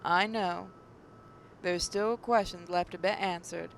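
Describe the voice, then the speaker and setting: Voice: fairly even intonation, slow. A person reading visual novel narration and commenting casually as a gaming host, now and then stumbling over words. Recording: clearly noisy mic with low rumble.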